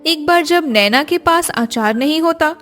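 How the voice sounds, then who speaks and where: A woman's voice: high voice, lively intonation, a little slow, a bit bright, soft background music. A woman delivering scripted narration as the storyteller of a family tale.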